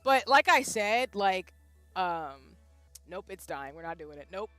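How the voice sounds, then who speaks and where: Animated woman chatting on a live stream. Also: medium pitch, measured pace. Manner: animated, chatty, swings from loud bursts to soft asides; self-deprecating, reads out chat. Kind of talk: casual live-stream chat. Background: faint background music.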